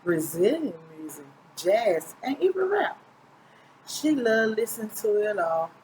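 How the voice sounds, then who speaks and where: A woman's voice: medium pitch, sing-song, unhurried, a little mellow, slight background noise, low-quality recording. A middle-aged woman reads a children's picture book aloud informally, stumbling now and then and correcting herself. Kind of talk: storybook read-aloud narration. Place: small indoor room, seated close to the camera.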